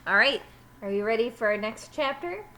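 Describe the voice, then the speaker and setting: A woman teacher reading a children's story aloud with animated, emphatic delivery. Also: a little high, normal speed, slight background noise.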